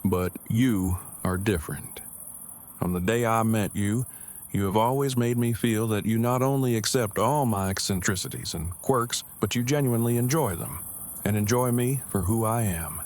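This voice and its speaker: An awkward, earnest man surviving the apocalypse played by a male actor speaks in a lowish voice. His phrasing is stiff, formal and long-winded. Clear night-time noise with crickets sits behind him in this audio drama. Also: normal speed, darkish tone, close to an everyday voice. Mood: earnest, nervous. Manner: formal, wordy, stilted phrasing.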